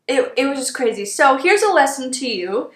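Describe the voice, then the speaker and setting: A woman's voice: high-pitched, big pitch swings, moderate pace. A woman in her twenties telling stories in a casual, chatty and exasperated way as a beauty vlogger doing her makeup. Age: 20s. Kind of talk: casual story time while doing makeup. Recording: echoey room.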